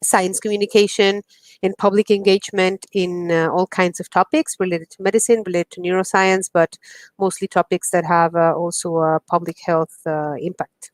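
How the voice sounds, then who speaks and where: A young woman from Mexico introducing herself as a panelist on a video call, measured with some fillers. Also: fairly low pitch, flat delivery, dry recording.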